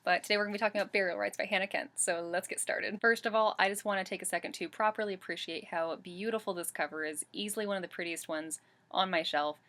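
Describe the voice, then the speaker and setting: A woman's voice: mid-range voice, fairly even intonation, fast, a bit bright. A woman in her twenties, chatting enthusiastically about a book she read and correcting herself as she goes, on a webcam in her bedroom. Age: 20s. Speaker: book reviewer. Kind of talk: casual book review vlog. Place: bedroom in front of a bookshelf.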